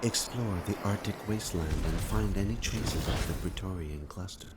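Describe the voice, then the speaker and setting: A man playing a calm, solemn, protective artificial intelligence in a video game, speaking in a formal, grave, measured way. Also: deep voice, very noisy, close to an everyday voice. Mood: briefing. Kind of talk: scripted video game acting.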